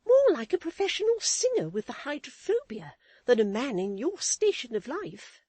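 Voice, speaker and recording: A woman's voice: big pitch swings, moderate pace, a little mellow, no echo. A woman voicing a middle-aged wife who scolds her husband with withering, self-righteous indignation. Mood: scornful. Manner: high-pitched, withering, sharply emphatic.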